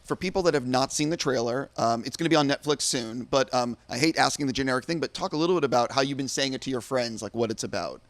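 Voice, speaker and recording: A man's voice: medium pitch, quick pace, hardly any pauses, no room sound. A man interviewing in a studio, speaking English as a second language, eager and full of fillers and restarts. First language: not English. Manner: enthusiastic, hesitant with many fillers and restarts. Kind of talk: casual interview. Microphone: studio microphone.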